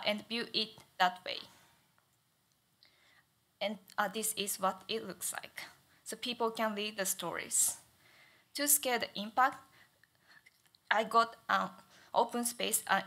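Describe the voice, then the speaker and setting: A young woman presents her thesis at a lectern microphone on a stage, not speaking in her first language. Her delivery is careful and halting, with many fillers. Her voice is bright and clear at an ordinary pitch. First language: not English. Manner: stutters, careful with frequent fillers. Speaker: student presenter. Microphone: lectern mic.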